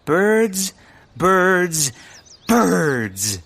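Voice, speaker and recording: An actor playing an earnest, pompous, bumbling bird enthusiast in a comedic period audio drama, grandiose and stammering. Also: male voice, sits high, big pitch swings, drawn-out words, gravelly, a bit bright, full character voice. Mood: grandiose, flustered.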